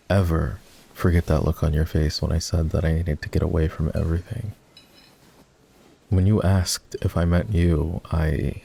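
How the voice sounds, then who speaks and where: A man playing a regretful, tender ex-boyfriend turned boss in an intimate ASMR roleplay, speaking softly and hesitantly with a husky, gravelly voice. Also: low voice, heavily acted. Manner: soft, hesitant, confessional.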